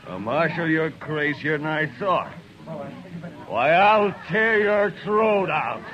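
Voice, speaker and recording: An actor playing a big, brutish outlaw who makes slow, low, sneering threats. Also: male voice, lively intonation, muffled recording. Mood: menacing. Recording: hissy old radio broadcast.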